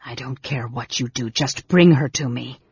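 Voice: medium pitch, moderate pace, a little mellow, husky, no room sound, highs cut off. A performer voicing a frail, sickly young male mage in a weak whisper, sneering, formal and commanding, for a fantasy story. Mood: impatient, commanding.

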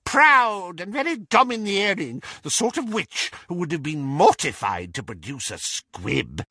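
Man putting on a shrill, cackling old witch. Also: sing-song, unhurried, sharp tone, slightly rough, no echo, studio quality, full character voice. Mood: gleeful, scornful. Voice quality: squawking, cackling. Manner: domineering, insinuating, talks over others. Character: cackling old witch, gleefully malicious gossiping aunt.

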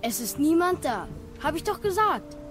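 Casting: warrior's young son, high voice, earnest and questioning, fantasy audio drama over music. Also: female voice, very animated, moderate pace. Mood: eager, anxious. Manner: questioning, eager.

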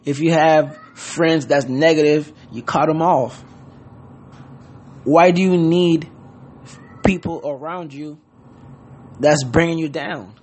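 A man's voice: a little high, fairly even intonation, slow, pauses often, a little mellow, a little hiss or hum. A man from Haiti giving an earnest motivational talk, loosely worded and full of "you know what I mean.". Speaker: podcast host. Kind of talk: casual motivational monologue.

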